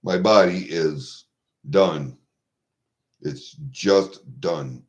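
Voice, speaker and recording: A man in his sixties from Iowa with a Midwestern American accent, chatting to viewers in a rambling, halting, blunt and salty way. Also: low voice. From Iowa, USA. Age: 60s. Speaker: vlogger. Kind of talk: rambling casual chat to viewers. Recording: dull lo-fi home recording.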